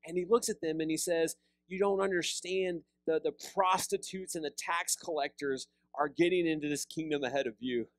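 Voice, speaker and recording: A pastor in his thirties preaching a sermon, seated with a handheld mic, animated and conversational, often repeating words as he restarts. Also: on the high side, normal speed, few pauses, no echo. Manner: animated preaching with big hand gestures, rising loud on emphasis. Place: church fellowship hall, seated on a stool.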